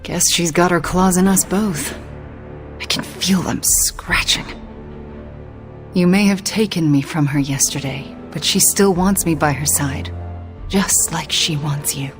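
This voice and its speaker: A woman playing a shaken, sleepless young heroine in a video game, confiding in a hesitant way. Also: lowish voice. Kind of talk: dramatic video game acting.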